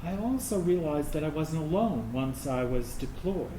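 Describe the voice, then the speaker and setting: A man performing a slow dramatic monologue as an anguished transgender veteran recounting years of hiding, in a staged reading. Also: a little high, lively intonation, mellow, lightly acted. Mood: pained, earnest. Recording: roomy, noisy live stage recording.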